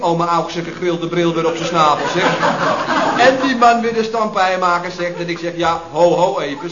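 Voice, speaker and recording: An actor in a radio comedy playing a chatty, mocking workmate who tells wordy, colloquial anecdotes. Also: male voice, sits high, close to an everyday voice. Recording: noisy, echoey, dull old radio recording.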